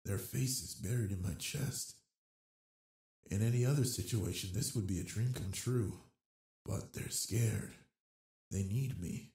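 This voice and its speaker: A man playing a big, gentle, flirty werewolf pack alpha in a romantic audio roleplay, husky and gravelly, talking in a soft, teasing way. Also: lowish voice, a little slow, long pauses, exaggerated voice. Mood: tender, worried thoughts.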